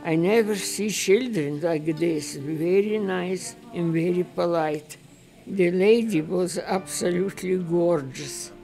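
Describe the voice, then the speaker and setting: A woman's voice: on the low side, takes it slowly, creaky. A woman, speaking in simple broken English in a heartfelt personal interview. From former Soviet Union. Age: 80s. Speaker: elderly client of a family service. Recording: soft music underneath.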